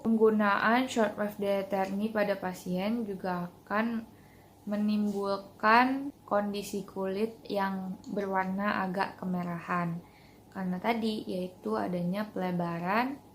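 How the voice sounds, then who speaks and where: A woman's voice: medium pitch, steady tone, takes it slowly. A young woman, a student explaining in a class presentation. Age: young adult. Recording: clear background noise.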